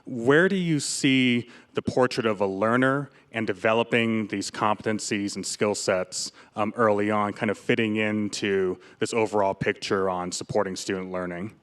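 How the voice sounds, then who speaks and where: A man posing a measured question to a panel at a public forum. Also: fairly low pitch, fairly even intonation.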